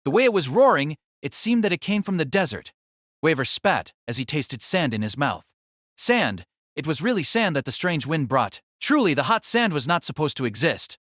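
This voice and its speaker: A man delivers scripted fantasy narration with theatrical suspense. His intonation is very animated, on a slightly raised pitch, and the recording is dry and close. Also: normal speed, no room sound. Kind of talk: dramatic scripted story narration.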